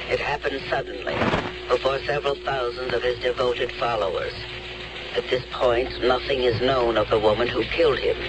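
An actor playing a brisk, sober male news reporter delivering a bulletin in a 1970s radio drama. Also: medium pitch, background noise, close to an everyday voice. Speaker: news announcer.

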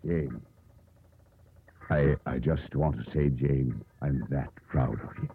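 An actor playing an older sea-going father, devoted and fretful, earnest and halting, in a 1950s radio drama. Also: very deep voice, full character voice. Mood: tender. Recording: noisy, tinny 1950s radio broadcast.

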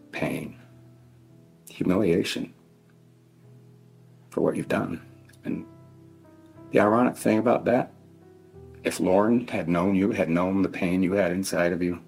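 Deep, gravelly father speaking sternly. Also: darkish tone. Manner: deliberate, stern, emphatic, with heavy pauses. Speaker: bereaved father addressing his child's killer. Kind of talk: victim impact statement addressed to one person.

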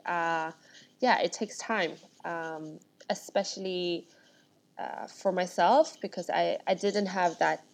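Chatty low-voiced woman. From Sweden. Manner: chatty, rambling with many restarts. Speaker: startup founder, guest. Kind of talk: casual interview chat.

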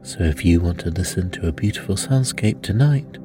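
A man's voice: sits low, animated, fairly quick. A man reading a scripted podcast advertisement as an announcer. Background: music.

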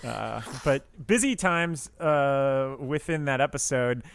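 A man co-hosting a podcast, chatting casually about film in a halting, rambling way. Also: mid-range voice, lively intonation, vocal fry, clear, resonant tone, dry recording. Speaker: podcast co-host.

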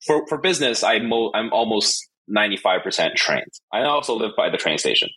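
A low-voiced man, a Mandarin speaker. His voice is a bit husky and his talk is relaxed, with plenty of "uh" fillers. He is a guest on a podcast. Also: fairly quick, poor audio. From China, raised in Canada. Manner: relaxed, frequent "uh" fillers, light laughs. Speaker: guest, marketing executive. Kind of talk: casual interview chat.

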